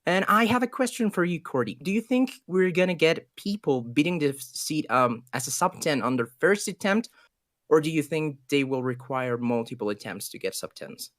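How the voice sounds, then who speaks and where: A man's voice: fairly high pitch, expressive, normal speed, fairly bright tone, no room sound. Man casting casually, Ecuadorian Spanish accent, dry sound. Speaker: esports commentator. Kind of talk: casual livestream casting, improvised chat.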